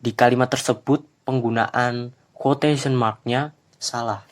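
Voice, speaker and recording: A man's voice: mid-range voice, steady tone, normal speed. A young man with an Indonesian accent, a student presenting class slides who switches into Indonesian to explain, with hesitant fillers. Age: young adult. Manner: plain reading, with hesitant fillers when explaining.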